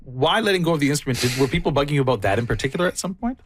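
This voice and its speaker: A man's voice: mid-range voice, animated, rapid-fire, a little hiss or hum. A man interviewing a guest, curious and encouraging as he asks questions. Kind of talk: interview conversation.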